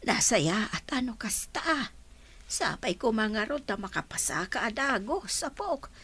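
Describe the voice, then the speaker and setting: A woman's voice: fairly high pitch, very animated, measured pace, fairly bright tone, slightly rough, full character voice. A woman playing a caring old grandmother in an Ilocano radio drama, with an Ilocano accent. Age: older.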